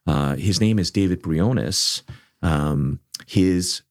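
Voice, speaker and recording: A middle-aged man born in South Korea, leading a seminary, speaking in an earnest, measured way with frequent restarts. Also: low voice, a little mellow, no echo, studio quality. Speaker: seminary leader. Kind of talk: conversational interview.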